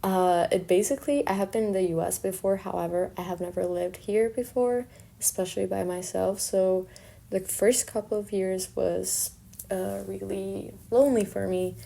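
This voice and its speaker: A woman's voice: mid-range voice, vocal fry, mellow, slight background noise. A young woman with a Colombian accent, a native Spanish speaker, talking informally and reflectively about herself as an artist. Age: young adult. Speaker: artist and fine arts student. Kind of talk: informal self-introduction monologue.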